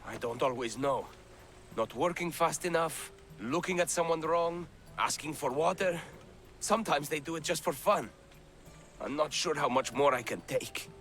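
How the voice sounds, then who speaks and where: A man's voice: fairly high pitch, moderate pace, a bit bright, faint music behind, natural voice. Man playing a weary, earnest enslaved man. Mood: weary, resigned. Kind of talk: dramatic video game acting.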